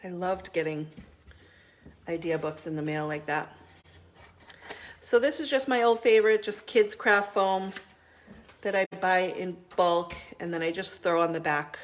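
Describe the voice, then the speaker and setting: A woman's voice: fairly low pitch, a little slow, pauses often, a little hiss or hum. A middle-aged woman hosting a live crafting video, chatting casually with many ums while she works, still stuffy from a cold.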